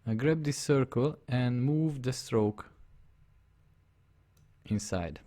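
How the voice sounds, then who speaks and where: A man's voice: mid-range voice, slow, dark tone. A man with a Hungarian accent, a native Hungarian speaker, talking through a live drawing tutorial step by step and often checking that the viewer follows. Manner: narrates his on-screen steps, often checks the viewer follows.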